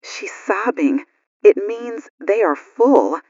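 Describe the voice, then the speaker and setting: Woman acting anxious radio co-host, animated delivery. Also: mid-range voice, slow, breathy edge, dry recording, dull audio. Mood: distressed. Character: anxious female radio news co-host during a blackout. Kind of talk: radio news drama acting.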